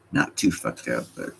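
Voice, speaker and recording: A man with a slight Scottish accent talks casually to his stream chat from home, swearing freely and laughing at his own jokes. His voice sits very low and carries a husky, rough edge. He rambles loosely, reacting to chat as he goes. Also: pushed and bright. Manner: profane, laughing, loose and joking. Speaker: livestream host reading chat.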